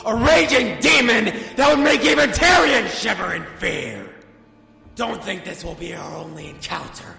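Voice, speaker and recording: Gravelly, menacing demon villain. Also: male voice, lowish voice, exaggerated voice. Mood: raging. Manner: theatrical, menacing, gloating. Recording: echoey long reverb, loud background music.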